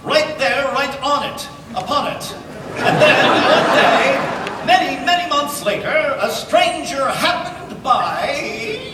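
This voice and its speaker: Casting: high-flown, slow, theatrical storybook narrator, distant in a very echoey hall. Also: male voice, expressive, heavily acted. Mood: mock-dramatic. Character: storybook narrator, theatrically overblown, swooping and drawn out. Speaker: narrator in a panel cold read. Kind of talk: comic storybook narration before a live audience. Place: large convention hall, recorded from far in the audience. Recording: very echoey noisy hall, far from mic.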